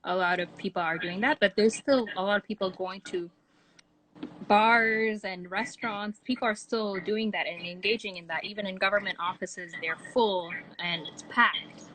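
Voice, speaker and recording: A woman's voice: mid-range voice, steady tone, moderate pace, a bit bright. A woman from Tanzania, giving friendly, casual answers as an interview guest. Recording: slightly noisy online call.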